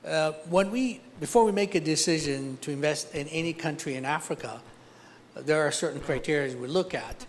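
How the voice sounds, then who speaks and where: A man from Ethiopia answers a panel question, his voice sitting a little high. He talks like an investor, grounding each point in concrete examples. Faint background noise runs under the recording. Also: normal speed. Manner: practical, explains with concrete examples.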